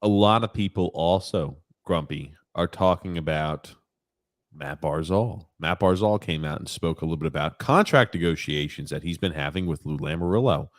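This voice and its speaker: A man's voice: sits low, normal speed, no echo, studio quality. A man co-hosting a sports podcast, talking in a conversational, occasionally profane way as he banters casually.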